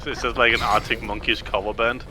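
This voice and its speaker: A man's voice: medium pitch, flat delivery, a little fast, pushed and bright, a little hiss or hum. A man chatting casually as he comments on a video game, rambling and repeating words as he explains, with game music behind him. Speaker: co-host commenting on a game playthrough. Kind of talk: casual gaming chat.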